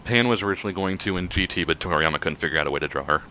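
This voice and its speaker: A man's voice: low voice, racing, bright, forceful tone. A man co-hosting a casual retrospective chat, often jumping in, on a slightly lo-fi recording. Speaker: podcast co-host.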